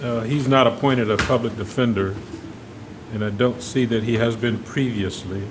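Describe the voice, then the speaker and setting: A man presides as judge at a courtroom bond hearing. He speaks at an ordinary pitch in a firm, procedural way and repeats his questions until he gets a clear answer. Faint background noise sits under his voice. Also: steady tone, normal speed.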